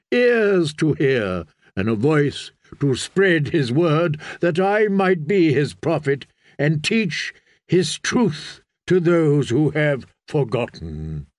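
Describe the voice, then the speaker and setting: A man's voice: on the high side, a little mellow, no echo, clean studio sound. A man playing a fervent, commanding prophet priest of a sea god in a fantasy audiobook, preaching in solemn, incantatory oratory. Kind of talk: dramatised fantasy audiobook reading.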